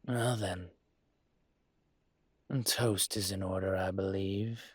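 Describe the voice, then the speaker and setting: A man playing a courtly, possessive dragon mafia boss in an intimate audio roleplay, speaking in a suave, teasing, formal way with a husky voice. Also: low-pitched, steady tone, slow, a little hiss or hum. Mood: warm, celebratory. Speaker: voice actor.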